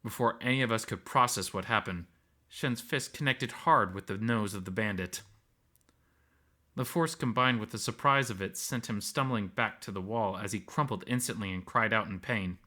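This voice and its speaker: A man's voice: lowish voice, moderate pace, bright, forceful tone. A man reading scripted first-person story narration as the narrator.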